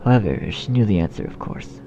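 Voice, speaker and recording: Male narrator, low pitch, scripted story reading, muffled recording, clear background music. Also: a little fast. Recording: dull, muffled sound under music.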